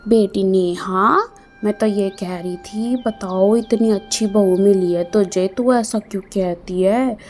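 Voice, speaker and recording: A woman's voice: medium pitch, steady tone, takes it slowly, darkish tone, faint music behind, lightly acted. A woman voicing a sly, scheming middle-aged neighbor who spreads gossip with sweet talk, in a storybook tale.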